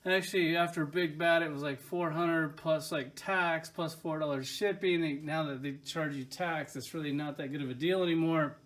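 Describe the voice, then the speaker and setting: A man's voice: a little high, steady tone, normal speed, few pauses, fairly bright tone. A man casually reviewing toys from his collection, enthusiastic and rambling, with lots of filler words. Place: at home by his collection shelves.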